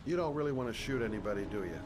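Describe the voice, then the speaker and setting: An actor playing a calm, world-weary male private detective in a video game, measured, dry and reassuring. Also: mid-range voice, noisy background, lightly acted. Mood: calming, persuasive. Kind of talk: dramatic video game acting. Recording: music and rain behind voice.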